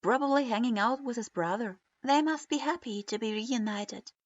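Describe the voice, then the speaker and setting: A woman voicing a content, chatty young girl ninja, pitched a little higher. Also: moderate pace, natural voice. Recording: dull lo-fi recording.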